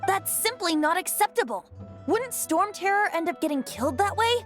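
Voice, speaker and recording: Lilting, theatrical boyish young bard. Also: female voice, a little high, big pitch swings, unhurried, faint music behind. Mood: pleading. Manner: theatrical, coaxing, lyrical phrasing. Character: whimsical boyish young bard, secretly a wind god, playful and theatrical. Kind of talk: video game voice acting.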